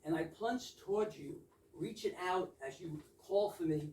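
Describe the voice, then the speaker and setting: A woman's voice: on the low side, moderate pace, darkish tone, a little hiss or hum, lightly acted. A woman playing an earnest, gullible young suitor with a romantic, rhapsodic manner in an amateur readers theater comedy. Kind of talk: amateur readers theater of a 1920s comic play. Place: indoor readers theater stage, distant microphone. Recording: echoey stage recording from far off.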